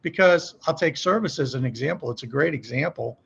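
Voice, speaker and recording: A man's voice: a little high, fairly quick, vocal fry, slightly rough, dull audio. A middle-aged man speaking as a podcast guest, explaining business practice conversationally in a folksy way, often posing questions and checking in with the listener. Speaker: podcast guest, company executive.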